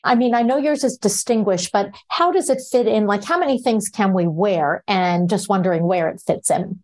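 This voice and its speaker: A woman's voice: medium pitch, moderate pace, darkish tone. Curious woman podcast host. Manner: curious, conversational questioning. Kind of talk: interview questions.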